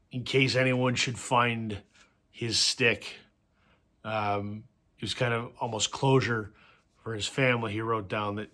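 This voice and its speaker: Male record-channel host, slightly hoarse, bright edgy tone, casual storytelling, lots of ums and uhs. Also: on the low side. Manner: conversational storytelling with frequent fillers. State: hoarse from a cold. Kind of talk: casual talk to camera.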